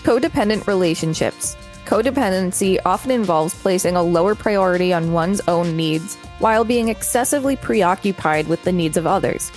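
A woman's voice: fairly low pitch, moderate pace, few pauses, a little mellow, soft background music. A woman co-hosting a podcast, reading encyclopedia material aloud with some casual commentary. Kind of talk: scripted narration read aloud, with brief casual commentary.

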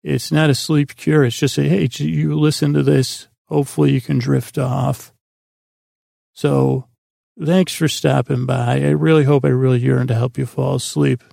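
A man with a gravelly, creaky voice hosting a bedtime podcast in a meandering, halting monologue that trails off mid-thought. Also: mid-range voice, level tone, warm tone, dry recording, clean studio sound. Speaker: podcast host.

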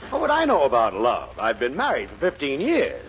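A man's voice: mid-range voice, wildly swooping pitch, a little fast, creaky. A man playing a crusty, world-weary, middle-aged store boss, sardonic and blustery, in a 1940s radio comedy. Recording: very noisy, dull old radio recording.

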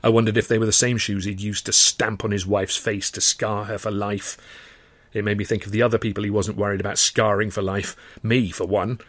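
A man reading a first-person novel as dramatic audiobook narration, expressive and often in short clipped phrases. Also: lowish voice, a little fast, no echo. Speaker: author reading his own novel.

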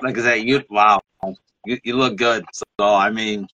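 A man's voice: lowish voice, steady tone, normal speed, pushed and bright, no room sound, lo-fi sound. A man in his late thirties hosting a podcast, talking candidly and casually. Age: 30s. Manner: candid, laid-back, swears casually. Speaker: podcast host. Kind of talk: casual chat.

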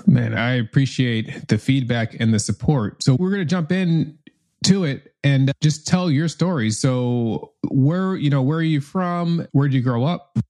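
A man's voice: mid-range voice, measured pace, a little mellow. A man in his thirties hosting a podcast, upbeat and informal, using casual slang. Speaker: podcast host.

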